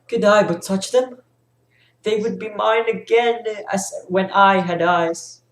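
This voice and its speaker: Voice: medium pitch, takes it slowly, natural voice. An actor playing a blinded, grieving fallen king who pleads solemnly in a classical tragedy reading. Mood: sorrowful pleading. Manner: mournful, pleading, solemn declamation. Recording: roomy echo, faint music behind.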